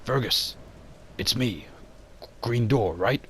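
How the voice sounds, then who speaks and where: A man's voice: mid-range voice, slow pace, fairly bright tone, slight background noise. A man voicing an anxious, stubborn archaeologist with a hesitant manner in an amateur motion comic. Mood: wry, uneasy. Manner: hesitant, stammering. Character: anxious, stubborn male archaeologist, desperate for funding.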